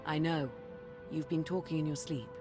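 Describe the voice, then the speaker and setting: An actor playing a shrewd, vigilant spymaster advisor in a video game, formal and insistent. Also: male voice, fairly high pitch, fairly even intonation, moderate pace, close to an everyday voice. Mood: reassuring. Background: music.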